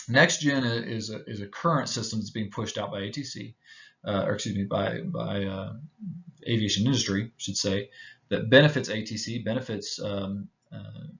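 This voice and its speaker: Low-voiced American man presenting, halting delivery. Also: fairly bright tone, highs cut off. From Houston, United States. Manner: halting, hesitant delivery with frequent filled pauses. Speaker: presenter, pilot. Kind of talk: informal slide presentation.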